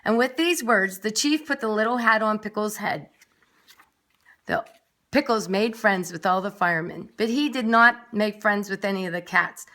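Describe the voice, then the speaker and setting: A woman's voice: medium pitch, moderate pace, bright, forceful tone. A woman reading a children's story aloud expressively, with dramatic emphasis and little asides for young listeners. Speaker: nursery school story reader.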